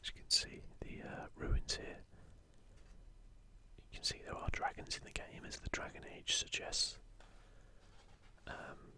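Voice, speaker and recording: Male voice, constant breathy whisper, unvoiced, ASMR commentary, video host. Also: unhurried, long pauses.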